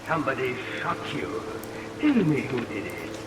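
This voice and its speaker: A man's voice: mid-range voice, sing-song, moderate pace, close to an everyday voice. Man acting a crazed, gloating villain, echoey and distant. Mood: sinister crooning. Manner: theatrical, cackling, taunting. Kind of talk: vintage radio melodrama acting. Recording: old radio recording, echoey, distant, loud rain noise.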